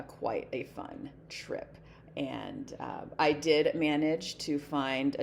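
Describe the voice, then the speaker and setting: Hoarse low-voiced woman, chatty vlog. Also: darkish tone, a little hiss or hum. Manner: chatty, rambling, many ums, laughs often. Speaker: flosstube vlogger. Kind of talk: casual chat to camera. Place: home desk.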